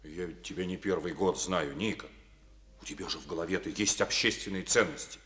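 An actor playing a firm, probing district party secretary in a studio radio play, questioning and rebuking in a calm manner. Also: male voice, low voice, fairly quick. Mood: stern. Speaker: party official.

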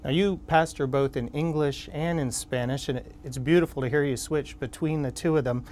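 A man hosting a television interview, asking questions in a measured, inquisitive way from a studio set. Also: fairly high pitch, fairly even intonation, a little mellow, slight background noise. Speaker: television show host, interviewer. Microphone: lapel mic.

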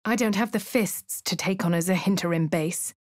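A woman's voice: fairly low pitch, normal speed, no echo, natural voice. An actress voicing a posh female adventurer in a fantasy game, narrating and remarking in a refined, dry manner. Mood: dry, composed. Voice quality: crisp upper-class English diction. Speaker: player character. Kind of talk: game voice lines.

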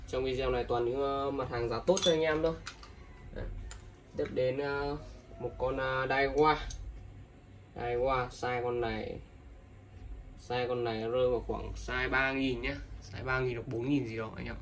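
A man selling used fishing reels in a casual, repetitive sales talk, filling pauses with ums and calling his buyers brothers. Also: medium pitch, steady tone, a bit bright, a little hiss or hum. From Vietnam. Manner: repetitive, many fillers, addresses buyers as brothers. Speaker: fishing tackle seller. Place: indoors, table of fishing reels. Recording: distant mic, music playing behind.

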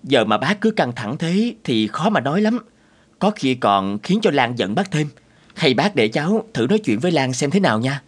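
An actor playing a polite, caring young neighbour in an animated drama, speaking respectfully and earnestly. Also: male voice, medium pitch, moderate pace, slightly rough. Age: young adult.

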